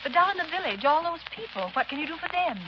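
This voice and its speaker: An actress playing an earnest, anxious young heroine with dramatic concern in a 1930s radio drama. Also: female voice, high-pitched, big pitch swings, quick pace. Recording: muffled, crackling 1930s radio recording.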